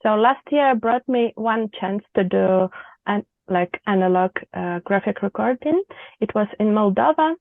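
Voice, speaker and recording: A woman's voice: medium pitch, a little slow, creaky, dry recording. Ukrainian-accented woman on a tinny line. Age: 30s. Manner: thoughtful, searching for words. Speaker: guest, visual practitioner. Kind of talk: conversational interview. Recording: tinny phone-like remote call line.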